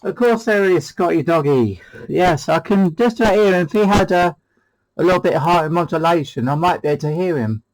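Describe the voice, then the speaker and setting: A man's voice: on the high side, a little fast, poor audio. A British man hosting a CB radio demo, chatting casually in a hesitant, rambling way. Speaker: CB radio hobbyist and channel host.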